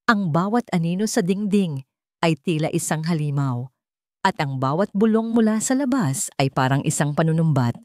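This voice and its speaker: A woman with a Filipino accent reads a scripted dramatic story in measured, short phrases. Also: sits low, lively intonation, darkish tone, no echo, studio quality.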